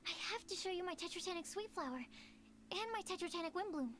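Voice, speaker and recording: A woman voicing a shy, earnest and quirky young female alchemist in a video game, with a soft girlish voice. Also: very high voice, animated, fast, a little hiss or hum. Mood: shyly proud. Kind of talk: animated video game voice acting.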